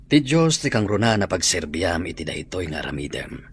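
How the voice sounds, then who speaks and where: A man's voice: sits low, big pitch swings, normal speed, slight background noise. A man playing a solemn, persuasive school principal in a radio drama, grave and authoritative.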